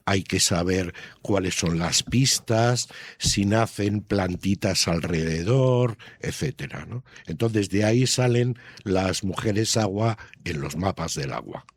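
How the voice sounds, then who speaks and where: A man with a fairly low, husky voice answers interview questions as a guest author. He talks slowly and conversationally, explaining as he goes. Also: no echo.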